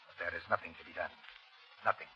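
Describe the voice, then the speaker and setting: An actor playing a callous, domineering scientist doctor in a 1930s radio drama. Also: male voice, low voice, fairly even intonation, fast, close to an everyday voice. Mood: cold. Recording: dull, noisy 1930s radio broadcast.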